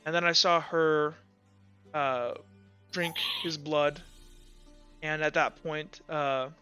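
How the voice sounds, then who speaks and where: A man acts out a guard commander on the witness stand in a fantasy tabletop game. He speaks slowly and haltingly, stammering through painful testimony with reluctance. The voice is clean and bright, with music behind it. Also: a little high, fairly even intonation, smooth. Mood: reluctant, shaken.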